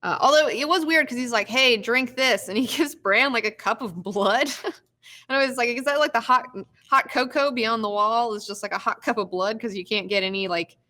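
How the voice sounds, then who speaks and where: A woman's voice: fairly high pitch, moderate pace, pushed and bright. A young woman hosting a live recap show, chatting casually, laughing often and swearing freely.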